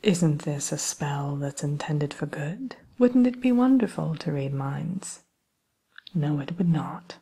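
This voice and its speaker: Woman acting as a wicked queen shopkeeper, low hushed voice, crackly fry, slow and genteel, sly menace, ASMR role play. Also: lively intonation, heavily acted. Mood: sly, confiding. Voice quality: soft, breathy, often whispered. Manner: unhurried, genteel, slyly menacing.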